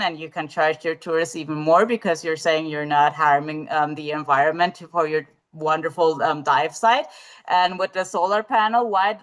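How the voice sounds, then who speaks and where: A woman's voice: on the low side, fairly bright tone. A woman presenting in a live webinar over a video call, talking with many fillers and restarts as she works through her answer.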